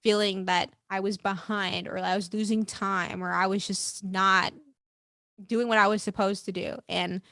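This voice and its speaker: A woman's voice: mid-range voice, flat delivery, a little slow, fairly bright tone, no echo. A young woman chats as a guest on a podcast. Her delivery is emphatic and encouraging, full of casual "like"s. The sound is dry, with very little room in it. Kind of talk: casual conversational interview.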